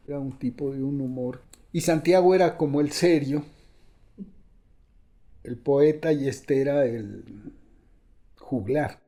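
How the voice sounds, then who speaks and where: Very dark-voiced man reminiscing slowly. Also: mid-range voice. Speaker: interviewee. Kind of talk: casual reminiscence.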